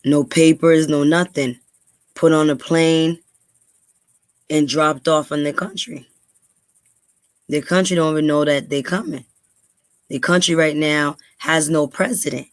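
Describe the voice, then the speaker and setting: A woman's voice: deep voice, fairly even intonation, unhurried, pauses often, dry recording. Earnest New woman vlogger. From New York, USA. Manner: earnest, many ums and repeats. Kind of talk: casual talk to camera, then reading aloud.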